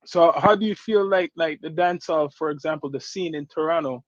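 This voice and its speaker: A man's voice: a little high, brisk, no echo, muffled recording. Laid-back man hosting a podcast. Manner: laid-back, uses Caribbean slang. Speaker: podcast host. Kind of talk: casual interview.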